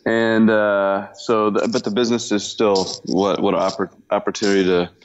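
A man in his fifties from the US Pacific Northwest, a business owner speaking in a halting, self-deprecating way with many fillers, calling in from his workshop. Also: fairly low pitch, flat delivery, dull audio. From Washington state, US. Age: 50s. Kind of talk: casual interview talk.

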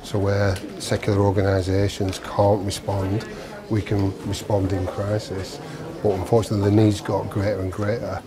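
Middle-aged lancashire guy, pastor, lowish voice, warm and earnest, loud crowd all around. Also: little pitch movement, moderate pace, hardly any pauses. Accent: Lancashire English. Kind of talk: casual chat with visitors. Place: large busy community hall. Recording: noisy crowd behind.

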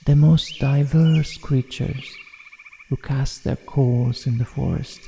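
A man narrating a guided meditation in a soothing, measured manner with deliberate pauses. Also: medium pitch, steady tone, gravelly, warm tone, slight background noise. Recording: long reverb, New-age music behind. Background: New-age music.

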